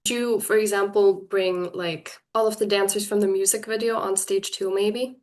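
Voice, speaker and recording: A woman's voice: medium pitch, fairly even intonation, moderate pace, darkish tone. A young woman interviewing a music artist, enthusiastic and chatty like a fan.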